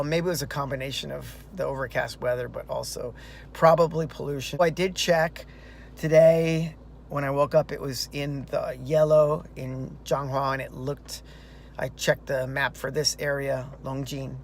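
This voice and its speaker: A man's voice: mid-range voice, steady tone, normal speed, creaky, slight background noise. A man casually recapping a marathon for his vlog, chatty and rambling, with fillers and restarts. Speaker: runner vlogging a marathon. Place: outdoors and in a car.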